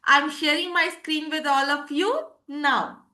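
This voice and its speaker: A woman's voice: sits high, a little slow, bright, forceful tone. A woman teaching a live online class with an Indian English accent, repeating points and checking in with students often. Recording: echoey room.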